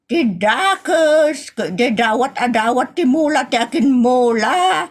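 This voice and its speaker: A woman's voice: high voice, lively intonation, slow, exaggerated voice. A woman with an Ilocano accent playing a nagging, outspoken village woman in a comedy audio drama, drawn out and exclamatory. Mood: scolding.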